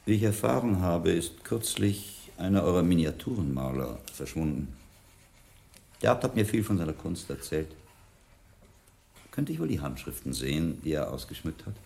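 An actor playing a shrewd, courteous, learned monk in a radio play, asking probing questions in a calm, polite manner. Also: male voice, low-pitched, normal speed, creaky, heavily acted.

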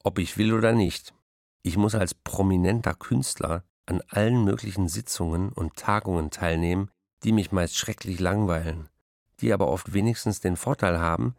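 A man's voice: low voice, normal speed, vocal fry, a little mellow, no echo, clean studio sound. An actor reading letters as a harried, wry postwar male writer in a radio drama.

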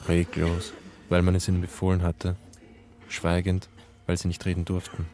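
A man playing a troubled, hesitant camera assistant in a radio drama, with a halting delivery. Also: deep voice, monotone, background noise. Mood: haunted. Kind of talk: German radio drama acting. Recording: music behind the voice.

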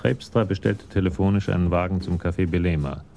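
A man's voice: sits low, a little fast, creaky, warm tone, faint music behind. A man narrating a crime drama from a script.

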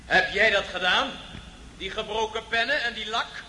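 Piercing, menacing dream figure, echoing. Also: male voice, high-pitched, normal speed, sharp tone. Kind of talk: radio drama acting. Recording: noisy, echoing hall, dream-like reverb.

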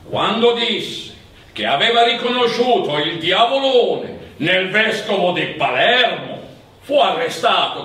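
A man narrating a theatrical monologue in emphatic, measured phrases. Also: high voice, a bit bright. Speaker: stage actor and storyteller. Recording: very echoey, distant, faint noise.

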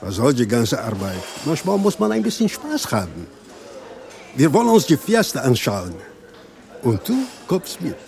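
An actor playing a boisterous, jovial, pleasure-loving husband in a radio drama, with a teasing, expansive manner. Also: male voice, mid-range voice, wildly swooping pitch, normal speed, gravelly, a little mellow. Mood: jovial, coaxing.

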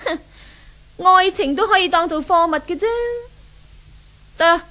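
A woman's voice: very high voice, fairly even intonation, measured pace, sharp tone, heavily acted. An actress playing a spoiled, jealous, bossy young rich woman in a 1970s Cantonese radio drama with a Hong Kong accent. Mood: haughty, scheming. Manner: haughty, bossy. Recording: 1970s radio recording, faint noise.

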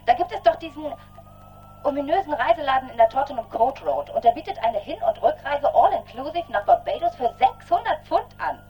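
An actress playing an impulsive, excitable woman leaving phone messages in a radio drama. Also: sits high, a little fast, muffled recording. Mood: breathlessly resolute. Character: impulsive, excitable woman confiding in her best friend.

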